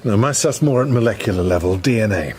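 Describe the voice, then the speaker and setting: A man's voice: lowish voice, expressive, quick pace, darkish tone, natural voice. A man acting a young scientist in a radio drama, diffident and uneasy. He talks in an understated, hesitant, everyday way. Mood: mild, distracted.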